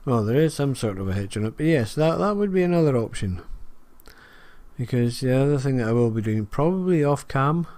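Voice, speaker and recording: A man's voice: mid-range voice, animated, a little fast, a little mellow. Rambling man narrating gameplay. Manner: rambling, self-deprecating, many fillers. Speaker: gaming video creator. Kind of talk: casual gameplay commentary.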